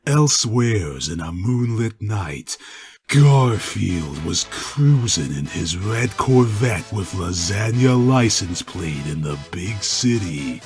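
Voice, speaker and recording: A man's voice: on the low side, a little mellow. A man narrating a dramatic reading of a story.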